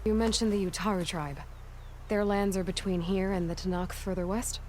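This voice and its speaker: A woman's voice: mid-range voice, fairly even intonation, moderate pace, darkish tone, a little hiss or hum, close to an everyday voice. A woman playing a determined, inquisitive young warrior in a video game cutscene, with a plain, direct manner. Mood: direct, probing.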